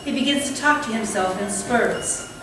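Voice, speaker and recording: A woman with a fairly high voice narrates stage directions from a script. She is some way from the microphone in a very echoey hall, with faint noise. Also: normal speed, recorded from a distance. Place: indoor theatre space, staged reading.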